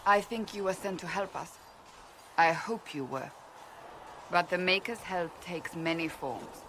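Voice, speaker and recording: An actress playing a blunt, devout warrior woman in a video game, speaking gravely and deliberately in formal phrases. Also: fairly low pitch, pushed and bright, a little hiss or hum. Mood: earnest. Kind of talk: dramatic video game dialogue.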